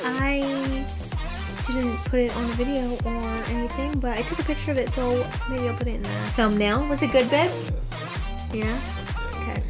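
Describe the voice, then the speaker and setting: A woman's voice: fairly high pitch, moderate pace, over loud music. A woman in her twenties chatting casually to a vlog camera at home, informal, slangy and enthusiastic. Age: 20s. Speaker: vlogger. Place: indoors at home, kitchen, bedroom and living room.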